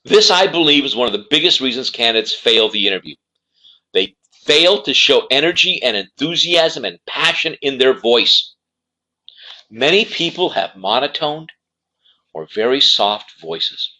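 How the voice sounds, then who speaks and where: Guy doing career coach talk, bright punchy voice, medium pitch, really stresses key words. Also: sing-song, a little slow, poor audio. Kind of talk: instructional talk to camera.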